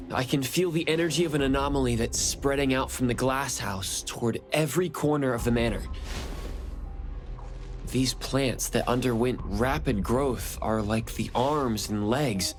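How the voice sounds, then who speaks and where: A calm, perceptive male investigator from a video game, played by a man. He explains thoughtfully in a mid-range voice, with faint music underneath. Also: medium pitch, lightly acted. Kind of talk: video game character dialogue.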